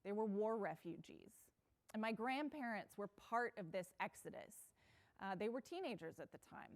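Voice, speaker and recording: Earnest woman giving a conference talk. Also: mid-range voice. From United States (Pacific Northwest). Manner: earnest, personal, with fillers and restarts. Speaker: conference speaker. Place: conference stage.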